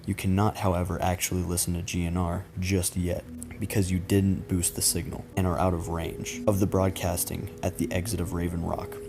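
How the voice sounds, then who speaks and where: A man reading a scripted creepy story as narrator in a measured, matter-of-fact way. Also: sits low, little pitch movement. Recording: music behind the voice. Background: background music.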